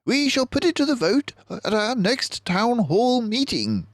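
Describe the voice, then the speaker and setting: A man's voice: very high voice, sing-song, unhurried, no echo, studio quality, pitched above the performer's own voice, full character voice. A man voicing a keen, confident mouse detective in a storybook, speaking in a precise, theatrical way. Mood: excited, decisive.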